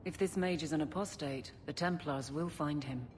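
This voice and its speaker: A woman's voice: low voice, moderate pace, a little mellow, slight background noise, close to an everyday voice. An actress playing a suspicious warrior woman in a video game, with a firm, pointed delivery. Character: stern, suspicious warrior woman wary of mages.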